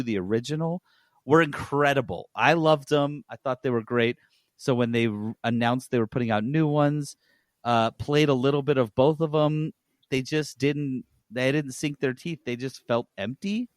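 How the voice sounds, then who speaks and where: A man's voice: mid-range voice, normal speed, no room sound. A man hosting a casual gaming news podcast, talking in a blunt, opinionated way.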